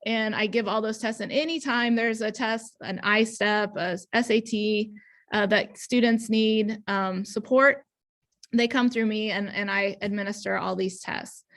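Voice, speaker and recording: A woman's voice: a little high, a bit bright. A woman in her thirties from Indiana, an ENL teacher giving a conference talk over a video call, earnest with frequent ums and restarts. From Indiana, USA. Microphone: webcam on video call.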